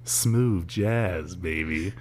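A man's voice: low voice, extremely slow, a little mellow. A young man co-hosting a podcast, chatting casually, teasing and laughing often. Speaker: podcast co-host. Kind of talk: casual chat.

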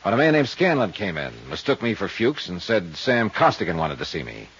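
Low, husky hard-boiled investigator. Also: male voice, big pitch swings, brisk, fairly bright tone, exaggerated voice. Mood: matter-of-fact. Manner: dry, matter-of-fact, clipped. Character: laconic, world-weary male insurance investigator. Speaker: lead detective and narrator. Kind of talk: 1950s hard-boiled radio acting and first-person narration. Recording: dull old radio recording.

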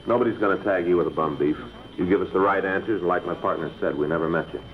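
An actor playing a blunt police detective, questioning a suspect briskly in an old radio crime drama. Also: male voice, low voice, lightly acted. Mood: firm. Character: blunt adult male police detective partner. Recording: tinny old radio broadcast.

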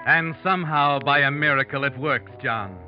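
A man plays an ominous narrator of a vintage radio play, taunting the listener as the guilty one. His fairly high voice is deliberate, over clear music on a dull recording. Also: lightly acted. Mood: wry, suspenseful. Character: ominous, knowing, taunting male storyteller addressing the murderer. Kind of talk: dramatic second-person narration, old-time radio. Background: dramatic music.

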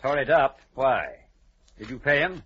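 An actor playing a proper, anxious gentleman solicitor in an old radio drama, formal, earnest and a little hesitant. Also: mid-range voice, big pitch swings, unhurried, breathy edge, heavily acted. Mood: concerned, probing. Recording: dull, lightly noisy vintage radio recording.

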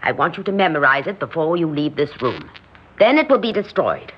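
An actress in an old-time radio drama playing an imperious, grandiose, scheming society hostess with a commanding, theatrical delivery. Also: female voice, deep voice, sing-song, a little fast, slightly rough, full character voice. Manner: theatrical, commanding, self-assured. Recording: dull, muffled old radio recording.

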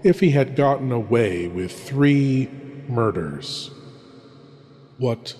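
Dark-toned slow horror host man. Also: mid-range voice, animated. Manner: ominous, deliberate, theatrical. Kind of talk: scripted theatrical horror hosting. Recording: long reverberant hall, music behind. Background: faint music.

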